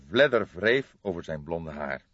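A man's voice: low voice, expressive, measured pace, fairly bright tone, no room sound. A man reading a crime novel aloud as scripted audiobook narration. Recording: dull lo-fi recording.

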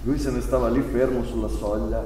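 A man acting in a staged play reading as an agitated, bitter young son of an exiled Nazi, speaking in a halting, sardonic way. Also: mid-range voice, steady tone, dark tone, close to an everyday voice. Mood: confessional, tense. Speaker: stage actor. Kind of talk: live staged reading of a play, theatrical monologue and dialogue. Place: theatre stage, recorded from a distance. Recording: very echoey stage, distant mic, faint noise.

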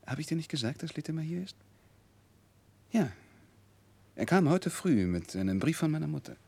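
An actor playing a moody, proud, impatient young gentleman in a 1960s German radio drama, with a husky, gravelly voice. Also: a little high, sing-song, moderate pace, no room sound, full character voice. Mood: impatient, brusque.

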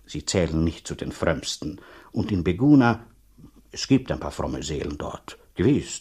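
An actor in a radio play voicing a blunt, talkative rural dean, sceptical and condescending, speaking in a husky, halting, emphatic way. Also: male voice, deep voice, darkish tone, full character voice. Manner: halting, emphatic, repeats himself. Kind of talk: German radio drama acting.

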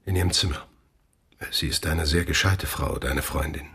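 Sehr rauer, tiefer, ernster Vater. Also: male voice, rumbling low, normal speed, creaky, sharp tone, slightly rough, heavily acted. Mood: grave, remorseful. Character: troubled middle-aged father confessing gravely. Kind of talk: German radio play acting.

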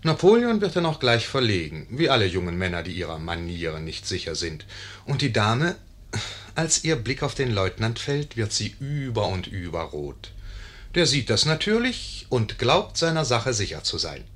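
An actor playing a wry storyteller who confides in the audience, narrating a 1950s German radio play. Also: male voice, lowish voice, big pitch swings, moderate pace, a bit bright. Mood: wry, confiding.

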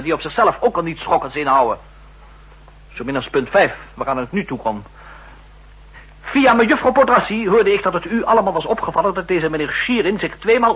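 An actor playing a driven counter-intelligence official, formal, pointed and emphatic, in a 1960s radio drama. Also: male voice, high-pitched, fairly bright tone, heavily acted, rough recording. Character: driven, insistent male counter-intelligence official making accusations. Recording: rough old 1960s radio recording.

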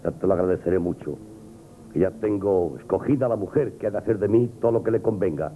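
An actor playing a stern, proud shopkeeper, blunt and scolding, in a Spanish radio play. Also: male voice, deep voice, quick pace, natural voice. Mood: cold, reproachful. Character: stern, wounded, proud shopkeeper, father of a daughter. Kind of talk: Spanish radio theatre acting, 1970s. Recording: muffled, noisy old radio broadcast.

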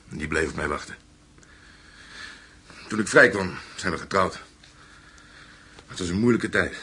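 Low, very raspy gruff husband. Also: male voice, pushed and bright, exaggerated voice. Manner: blunt, plain-spoken. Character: gruff working-class husband, former soldier, hospitable but domineering. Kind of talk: radio drama acting.

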